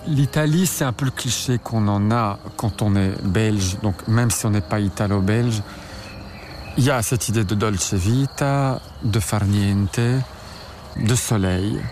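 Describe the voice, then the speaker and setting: A man from Belgium, low-pitched, explains his ideas slowly, stopping and restarting as he thinks. Crickets and insects buzz steadily behind him in a noisy outdoor recording. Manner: hesitant, restarts, thinking aloud. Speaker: author and researcher, interviewee. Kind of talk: radio interview, explaining.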